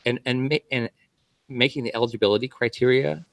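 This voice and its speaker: A man speaking as a healthcare guest on a panel podcast, measured and hedging with fillers. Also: medium pitch, fairly even intonation, creaky, dry recording.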